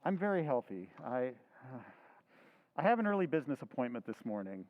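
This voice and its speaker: A man's voice: mid-range voice, big pitch swings, fairly quick, lightly acted. This is a tired husband who brushes things off with short, distracted answers, as a man plays him. His voice sits in a middle range and sounds thin and phone-like. He speaks in the manner of a classic radio play. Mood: weary. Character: weary, evasive husband. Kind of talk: old-time radio drama recreation.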